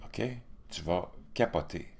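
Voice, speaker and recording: A man's voice: a little slow, creaky. A man in his fifties with a Quebec French accent, hosting casual political commentary from a home studio, indignant and repeating words as he talks. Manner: animated, indignant, repeats words, frequent pitch jumps. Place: home studio with virtual backdrop.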